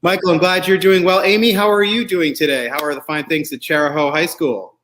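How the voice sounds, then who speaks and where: A man's voice: fairly high pitch, steady tone, a little fast, a bit bright, slight background noise. A man hosting a show in a casual, chatty way, rambling and joking as he goes. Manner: rambling, jokey. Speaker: show host.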